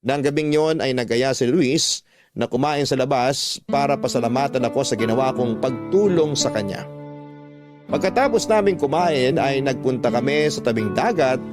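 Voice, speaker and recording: Narrator role: actor as earnest, reflective man telling his story, mid-pitched, measured, over soft music. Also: expressive, natural voice. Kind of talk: scripted first-person storytelling, Tagalog radio drama. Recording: music under the voice.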